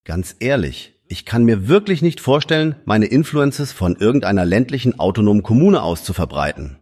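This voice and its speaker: An actor playing a vain, dismissive big-city influencer in a radio play, with an affected, dramatic manner. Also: male voice, fairly low pitch, big pitch swings, normal speed, darkish tone. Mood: disdainful. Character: vain Berlin male influencer, dismissive of country life.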